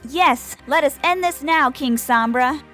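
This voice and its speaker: A woman's voice: high-pitched, a little slow, music in the background. A woman playing an earnest, righteous young pony heroine in a comedic audio drama, formal and scolding. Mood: stern. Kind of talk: comedic fan fiction audio drama acting.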